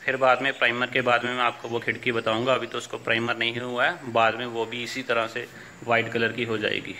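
A man's voice: mid-range voice, flat delivery, brisk, sharp tone, a little hiss or hum. A man in his thirties vlogging casually about building his house, explaining each step in a chatty way. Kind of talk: casual home-building vlog narration. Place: house under construction, indoors and on a veranda.

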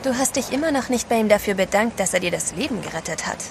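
An affectionate, well-bred wife in a radio play. Her voice is mid-pitched, with clear background noise. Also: expressive, fast. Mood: gently chiding. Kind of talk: German audio drama acting. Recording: clear ship noise behind.

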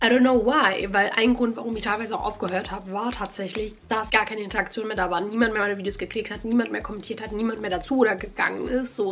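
Chatty young woman with a stuffy cold. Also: mid-range voice. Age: young adult. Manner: chatty, animated, exclaiming. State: nasal from a cold. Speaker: YouTuber. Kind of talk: casual vlog chat. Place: bedroom at home.

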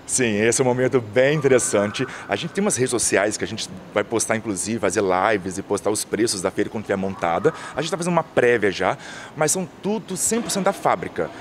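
A man's voice: lowish voice, lively intonation, fairly quick, a little hiss or hum. A man in his thirties, an event coordinator, answers interview questions outdoors into a handheld mic. He lists items fluently, with frequent restarts. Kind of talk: on-camera interview. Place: outdoors by a painted mural wall. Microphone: handheld reporter mic.

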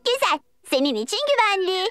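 A woman's voice: extremely high pitch, very animated, fairly quick. A woman voicing a bossy, cheerful little girl piglet in a cartoon who talks with eager childish bounce. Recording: cartoon with loud music behind.

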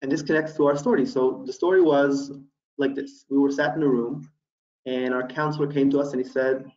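A man's voice: medium pitch, a little fast. Man presenting a webinar over a laptop mic. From USA (Houston, Texas). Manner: relaxed, many ums and uhs, thinking aloud. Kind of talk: casual online presentation. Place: small room indoors. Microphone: laptop webcam mic, video call. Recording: roomy, distant, dull webcam audio.

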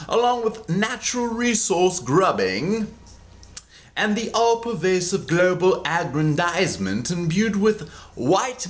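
A man in his forties, from Kansas, reading his own memoir aloud in a theatrical, sardonic way, close to a webcam in a small room at home. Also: fairly high pitch. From Kansas, USA. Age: 40s. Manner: theatrical, sardonic, bursts into loud emphasis.

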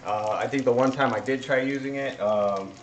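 Casual man cooking in an echoey kitchen. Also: mid-range voice. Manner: informal, halting, thinking aloud. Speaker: host of a home cooking livestream. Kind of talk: casual chat while cooking. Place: home kitchen, indoors. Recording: echoey kitchen, noisy with sizzling pan.

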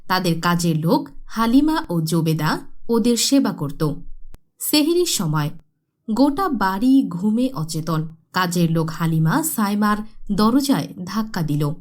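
A woman's voice: medium pitch, big pitch swings, measured pace, a little mellow. A woman reading scripted story narration for a children's cartoon.

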